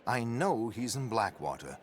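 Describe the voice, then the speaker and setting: Flamboyant, slow-spoken gentleman rogue. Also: mid-range voice, very animated, slight background noise. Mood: sober, informative. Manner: theatrical, affected, genteel. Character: dapper, flamboyant, silver-tongued gentleman con man. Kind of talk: dramatic video game acting.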